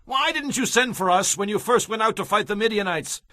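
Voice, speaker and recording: An aggrieved, high-pitched tribesman. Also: lively intonation, brisk, no room sound, studio quality. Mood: indignant. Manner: heated, forceful. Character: aggrieved, outspoken Israelite tribesman. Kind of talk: dramatized audio Bible acting.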